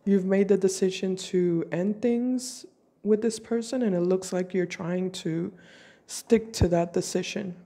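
Mellow-voiced woman reading tarot, with a cold. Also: mid-range voice, steady tone. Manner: slow, deliberate, intuitive. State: has a cold. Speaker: tarot reader. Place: home table with tarot cards.

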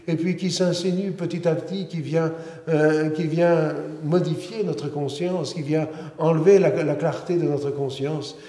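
Middle-aged male priest, earnest sermon, frequent restarts, mellow voice, long church reverb. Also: a little high. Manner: earnest, many restarts and repetitions. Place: large church interior.

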